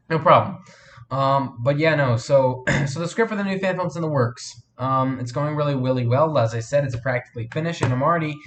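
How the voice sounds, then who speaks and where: Casual male streamer, sniffly, hesitant and rambling, mid-pitched voice, faint background noise. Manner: rambling, hesitant, full of fillers and restarts; reads and answers viewer chat. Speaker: live streamer and fan film maker. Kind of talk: casual live stream chat.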